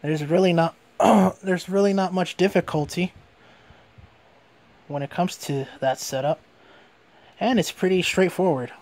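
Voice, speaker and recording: A man with a fairly high voice presents a hands-on gadget review. He talks informally, like an everyday hobbyist video, and tends to restate his points as he goes. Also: a little slow, long pauses, darkish tone. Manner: informal, repeats and rephrases himself. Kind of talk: casual hands-on product review.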